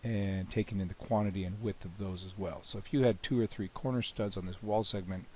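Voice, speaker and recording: Hoarse man clearing his throat. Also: lowish voice, a little fast, slight background noise. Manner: relaxed, rambling, frequent "you know" and restarts. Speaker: software developer demonstrating his plugin. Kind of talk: screen-recorded software walkthrough.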